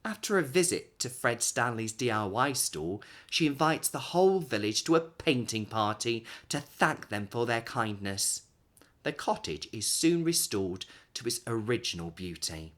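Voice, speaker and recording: Animated man reading a children's storybook. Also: mid-range voice. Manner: animated, dramatic pauses and emphasis for young listeners. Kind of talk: expressive read-aloud storybook narration.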